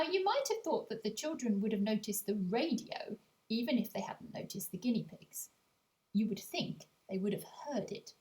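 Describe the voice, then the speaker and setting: A woman's voice: fairly high pitch, darkish tone, poor audio. A woman narrating a children's audiobook as a storyteller, with confiding asides to the listener.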